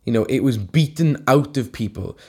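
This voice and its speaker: A man's voice: medium pitch, animated, moderate pace, a little mellow, slightly rough. A Welsh man co-hosting a casual podcast chat, talking in an emphatic, heated way and swearing freely. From Wales. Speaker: podcast co-host, tattoo artist.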